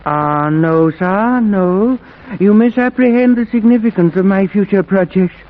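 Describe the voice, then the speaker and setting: An actor playing an obsequious, unhinged asylum patient in a 1940s radio drama, speaking in florid formal phrases. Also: male voice, sits high, unhurried. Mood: eager, pleading. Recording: old narrow-band radio recording.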